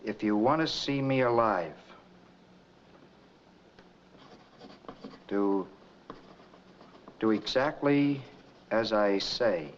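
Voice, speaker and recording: An actor playing a cold, bossy killer and escaped convict in a 1940s American film noir, talking in blunt, slangy commands. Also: male voice, on the low side, takes it slowly, slight background noise. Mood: commanding, deliberate. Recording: dull 1940s film soundtrack, faint music.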